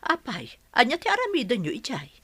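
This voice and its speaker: A woman's voice: on the high side, huge pitch swings, a little fast, breathy edge, exaggerated voice. An actress playing a caring, practical village wife and mother in an Ilocano-accented radio drama. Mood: questioning. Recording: loud music behind voice.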